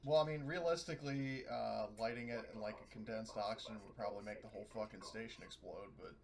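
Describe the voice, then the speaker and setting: Gravelly man hedging over a tabletop game. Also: lowish voice, a crowd in the background. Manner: halting, hedging explanations. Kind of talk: casual tabletop game chat.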